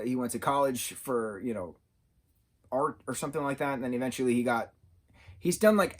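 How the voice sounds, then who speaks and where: A man of about thirty giving a casual, unscripted TV review, rambling and halting as he searches for his words. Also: mid-range voice, husky. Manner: rambling, halting, loses his train of thought. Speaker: TV show reviewer.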